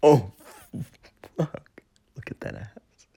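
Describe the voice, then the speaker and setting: A man playing a domineering, menacing lover in intimate erotic audio roleplay, murmuring in halting phrases with a breathy, husky voice. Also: dark tone, a little hiss or hum, close to an everyday voice. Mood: aroused. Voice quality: breathy, husky, gravelly whisper.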